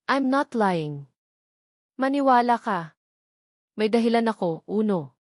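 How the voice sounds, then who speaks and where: A woman's voice: on the high side, lively intonation, takes it slowly, no echo, clean studio sound. A woman playing a frightened, rejected lover in an audiobook, imploring and hurt, with a Filipino accent. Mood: desperate, pleading. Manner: imploring, wounded.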